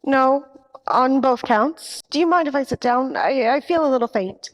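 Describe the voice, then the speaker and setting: An actress playing a nervous, pleading nightclub singer with a hesitant manner, in a 1940s radio detective parody. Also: female voice, sits high, animated, moderate pace, poor audio. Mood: anxious pleading. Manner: hesitant, imploring. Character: nervous, pleading female nightclub singer, a femme fatale client.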